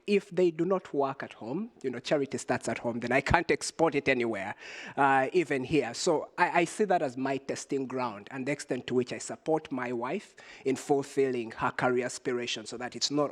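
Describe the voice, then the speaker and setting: Guy on a panel, measured and reflective, lots of little pauses. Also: mid-range voice. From Uganda. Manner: reflective, measured, with frequent hesitations. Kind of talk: panel discussion.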